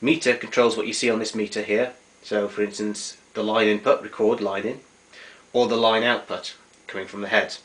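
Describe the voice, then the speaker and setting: A man's voice: on the low side, little pitch movement, normal speed, a bit bright. A man giving an unscripted, matter-of-fact hands-on walkthrough of equipment, explaining it as a collector would. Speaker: vintage tape recorder collector giving a demonstration. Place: indoors in a domestic kitchen. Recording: noisy amateur camera sound.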